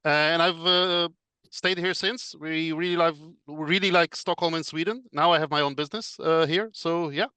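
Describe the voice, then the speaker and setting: A man speaks as a podcast guest on a video call. He explains things in a measured way, leaning on fillers. His voice is bright and forward, slightly high, with a dry, close sound. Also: fairly even intonation, smooth, dry recording. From Israel. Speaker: podcast guest, business consultant. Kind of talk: casual interview chat.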